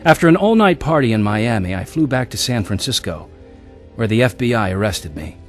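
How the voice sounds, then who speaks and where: A man's voice: mid-range voice, sing-song, fairly quick, soft background music, lightly acted. An actor narrating and playing a young man looking back on his wild youth in a radio drama.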